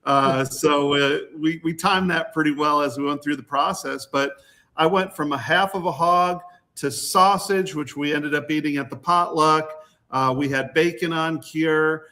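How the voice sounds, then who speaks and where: Bright-voiced man explaining casually. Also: fairly high pitch, fairly even intonation, a little slow. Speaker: guest, butchery workshop teacher.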